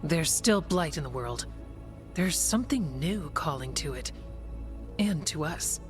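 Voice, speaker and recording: Female game warden: resolute, practical, lowish voice, clear music bed behind. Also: expressive, a little slow. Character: steadfast, practical female warden, dutiful and reassuring. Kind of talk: video game dialogue acting.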